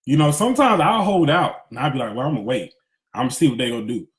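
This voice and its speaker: A man's voice: mid-range voice, expressive, rapid-fire. A man in his thirties giving a casual, emphatic spiritual pep talk in candid slangy speech, repeating phrases for emphasis. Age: 30s. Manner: conversational street-smart slang, candid. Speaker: motivational speaker. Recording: echoey, roomy sound.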